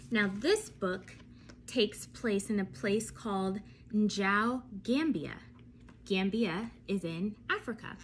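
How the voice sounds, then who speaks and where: A woman reading a bedtime story aloud for children, expressive and often turning to ask her young listeners questions. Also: mid-range voice, a little hiss or hum.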